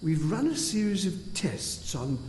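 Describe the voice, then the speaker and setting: A man's voice: high voice, sing-song, measured pace, a little mellow, slight background noise. A man playing a sinister, controlling asylum doctor in analog horror, with a theatrical, menacingly soothing manner. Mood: clinical, insistent. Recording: echoey long reverb, faint music behind.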